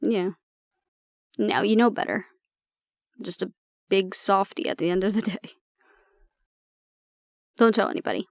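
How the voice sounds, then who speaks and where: A woman playing an anxious young woman with a tough front and a soft core, confiding casually and with swearing in an intimate audio roleplay. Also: medium pitch, unhurried, pauses often, no room sound, studio quality, close to an everyday voice. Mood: wry, guarded.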